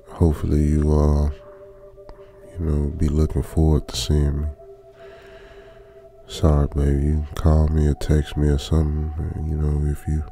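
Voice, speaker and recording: Man acting as a deep, gravelly young trucker. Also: mellow, full character voice. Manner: slow, drawling colloquial muttering with pauses. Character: young lonesome long-haul trucker, weary and uneasy. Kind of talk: ASMR old-school radio drama monologue.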